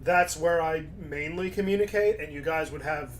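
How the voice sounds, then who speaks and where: A man's voice: fairly high pitch, moderate pace, a little hiss or hum. A man streaming casually, reading chat aloud and commenting in a laid-back way, his voice starting to go.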